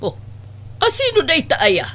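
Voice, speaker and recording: A woman playing an excitable, exclamatory middle-aged mother and market vendor in a comedy radio-style drama. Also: very high voice, a little slow, exaggerated voice. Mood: scolding.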